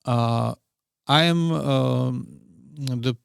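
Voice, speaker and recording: A middle-aged man with a Czech accent, chatting as a podcast guest. He speaks slowly and haltingly, with lots of fillers, and his voice is gravelly. The sound is close and dry. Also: medium pitch, no room sound. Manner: hesitant, many fillers and broken phrasing. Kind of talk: casual interview chat.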